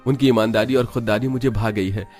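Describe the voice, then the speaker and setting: A man's voice: medium pitch, fairly even intonation, brisk, darkish tone, faint music behind, lightly acted. A man voicing a proud, blunt but devoted rich young man in a cartoon story. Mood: earnest, pleading.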